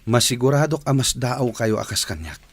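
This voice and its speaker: Animated, flustered Ilocano radio-drama engineer. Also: male voice, medium pitch, fairly quick, vocal fry, breathy edge. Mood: urgent, insistent. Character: flustered, insistent male engineer in radio serial.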